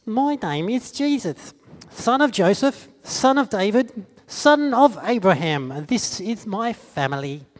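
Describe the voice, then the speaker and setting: A man's voice: sits high, animated, slow pace, in a large hall, pitched above the performer's own voice. A man doing an earnest young refugee boy. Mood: wistful. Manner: simple, earnest storybook telling.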